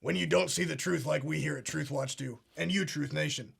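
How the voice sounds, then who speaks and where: An actor playing a pompous conspiracy talk show host, earnest and solemn in a deadpan parody. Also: male voice, fairly high pitch, brisk, gravelly, slightly rough, exaggerated voice. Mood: smug earnest.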